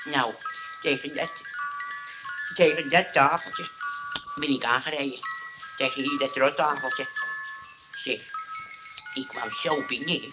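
Old grandpa played by an actor, radio play: slow, muddled, eager, repetitive, music underneath. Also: male voice, medium pitch, lightly acted, poor audio. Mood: rambling. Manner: rambling, repetitive, halting.

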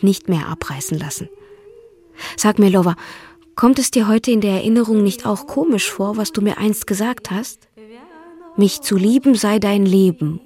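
A woman's voice: mid-range voice, dark tone, lightly acted. An actress playing a tender, wistful young Russian woman in love, intimate and dreamy, in a German radio drama. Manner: intimate, dreamy, with musing questions. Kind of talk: German radio drama, letters read in character.

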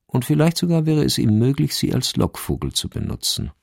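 An actor as the wry, measured narrator of a German radio crime drama, with a deliberate, dry delivery. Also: male voice, mid-range voice, sing-song, creaky, a little mellow, husky, dry recording, exaggerated voice.

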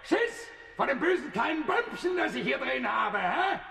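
A man's voice: extremely high pitch, big pitch swings, normal speed, gravelly, sharp tone, roomy sound, shouting, heavily acted. An actor playing a defiant, coarse man who taunts police in a radio drama. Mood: jeering, furious. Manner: jeering, provocative, coarse.

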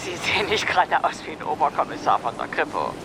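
A woman's voice: sits low, very animated, fast, background noise. An actress playing an eager, chatty female museum employee in a German radio drama, getting to her point in a roundabout way. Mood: apologetic, probing.

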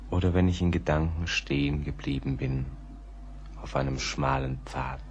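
An actor in a German radio play, playing a haunted, melancholy poet in a brooding, halting, intimate monologue. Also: male voice, rumbling low, a little hiss or hum, heavily acted. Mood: mournful. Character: melancholy, haunted poet musing to someone lost.

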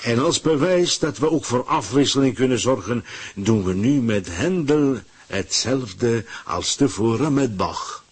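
A man acting in a radio drama as a cunning, power-hungry boss who gives orders pompously in a husky voice. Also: medium pitch, lively intonation, a little slow, a little hiss or hum, close to an everyday voice. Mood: smug, scheming. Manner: pompous, commanding, dictating orders. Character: cunning power-hungry male boss scheming with music as bait.

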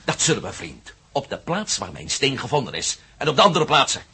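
A hard-pressing former astronaut in a radio drama. His voice is rough and husky, with a sharp edge. He talks briskly and forcefully, challenging whoever he faces. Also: medium pitch, sing-song, exaggerated voice. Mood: taunting, confrontational. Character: Matt Melden, former astronaut, assertive male investigator of stones. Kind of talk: Dutch radio play acting.

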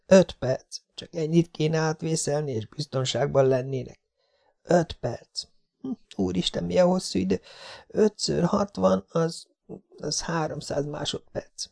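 A man's voice: on the high side, creaky, pitched above the performer's own voice. A man voicing a frightened young woman, counting under his breath and whispering her panicked thoughts in a storybook. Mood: anxious whisper. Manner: breathless, hushed inner counting.

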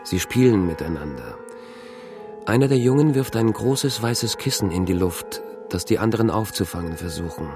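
A gravelly, haunted low-voiced man. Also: expressive, normal speed. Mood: haunted, absorbed. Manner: brooding, fragmentary, introspective. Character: haunted man troubled by intrusive, unexplained memories. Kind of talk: radio drama interior monologue. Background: music.

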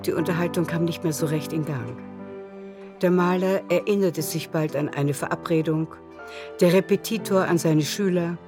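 A woman's voice: on the low side, steady tone, moderate pace, music in the background. A woman narrating a literary radio play in a scripted, storytelling style.

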